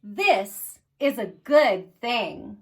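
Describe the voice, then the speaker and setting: A woman hosting story time for young children, speaking in an animated, encouraging way straight to her little listeners. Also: on the high side, extremely slow, husky. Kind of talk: reading and singing aloud to young children.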